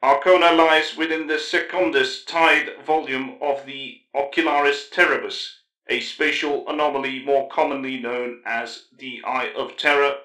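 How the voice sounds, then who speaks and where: A man's voice: medium pitch, steady tone, a little slow, pushed and bright, echoey room, voice pushed up, rough recording. A man voicing an aloof, precise cyborg tech-priest who speaks in formal, pedantic recitals. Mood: clinical.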